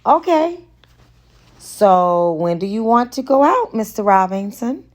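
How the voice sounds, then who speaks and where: A woman's voice: mid-range voice, sing-song, slow pace, voice pushed up. Woman reading as a coy, flirty romance heroine. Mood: playful, seductive. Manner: coy, playful, seductive.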